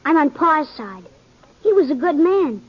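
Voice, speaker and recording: A woman's voice: sits high, big pitch swings, unhurried. A woman playing a grieving, defiant eleven-year-old American boy in a 1950s radio western. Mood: sullen, loyal. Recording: dull old-time radio recording.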